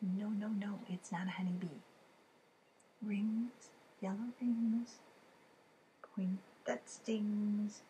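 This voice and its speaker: A woman in her fifties reading riddle poems aloud for a children's storytime, expressive and deliberate, with chatty asides to the listeners. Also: medium pitch. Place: living room at home, indoors. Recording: clearly noisy room recording.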